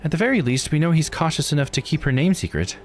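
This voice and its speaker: Cautious visual novel investigator, played by a man: raised pitch, brisk pace, formal and measured wording, music underneath. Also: animated, close to an everyday voice. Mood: earnest. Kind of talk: visual novel dialogue read aloud.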